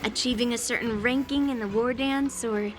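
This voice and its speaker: Lady doing a cocky young girl sword teacher, high voice, blunt and teasing, music playing behind. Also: fairly even intonation, close to an everyday voice. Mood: challenging. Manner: brisk, frank, teasing. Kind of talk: video game voice acting. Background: game background music.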